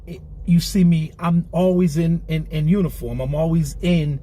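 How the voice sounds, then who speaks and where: Man in his 40s, earnest street talk, kinda high voice, sitting in a car. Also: steady tone, normal speed, dark tone. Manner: streetwise, earnest, repeats himself. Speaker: clothing brand founder, guest. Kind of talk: candid interview. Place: inside a car.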